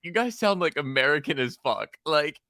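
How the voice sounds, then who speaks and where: A man's voice: on the high side, moderate pace, dry recording, clean studio sound. A man co-hosting a music review stream, chatting casually with lots of fillers. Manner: chatty, many fillers, excitable.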